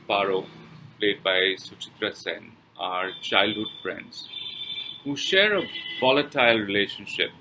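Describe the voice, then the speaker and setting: A man reading a scripted film review as presenter, with measured, halting, deliberate phrasing. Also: on the low side, bright, forceful tone, slight background noise, poor audio. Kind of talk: scripted read-aloud review with pauses.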